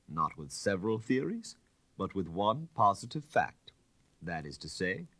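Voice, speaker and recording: A man voicing a suave, self-assured gentleman detective in an audiobook story, calm, precise and dogmatic. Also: fairly low pitch, no room sound, voice pushed up, full character voice.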